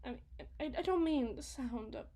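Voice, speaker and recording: Woman acting high-voiced, emotional suburban trophy wife. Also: expressive, fairly quick, dark tone. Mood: hesitant surprise. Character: anxious, lovesick suburban trophy wife and mother. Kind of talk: ASMR roleplay, dramatic monologue.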